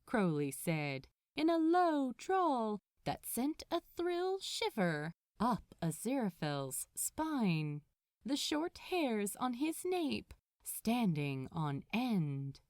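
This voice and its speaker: Female story narrator, very animated intonation, measured pace, close dry sound. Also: a little high, dry recording. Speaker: podfic narrator. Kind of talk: expressive scripted fiction narration.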